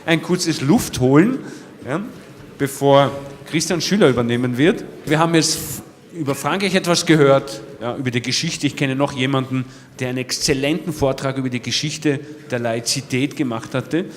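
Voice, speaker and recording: Conference moderator in a reverberant hall. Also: male voice, medium pitch, normal speed. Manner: informal, repeats "ja". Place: lecture hall.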